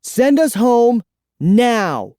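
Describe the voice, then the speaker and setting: A scared young boy acted by an audiobook reader, stammering and pleading in a high, strained voice. it is recorded close and dry. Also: sing-song, very slow, warm tone, dry recording. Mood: panicked.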